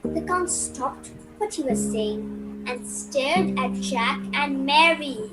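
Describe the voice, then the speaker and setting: A woman's voice: high-pitched, animated, slow pace, rough recording. A woman narrating a radio play in a dramatic, scripted storytelling style. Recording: loud music behind voice.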